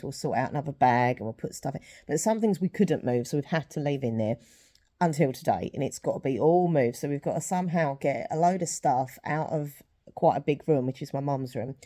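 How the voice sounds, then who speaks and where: Chatty woman with a low, mellow voice. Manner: chatty, rambling with fillers. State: slightly sniffly, sore throat. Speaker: vlogger. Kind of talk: casual vlog chat.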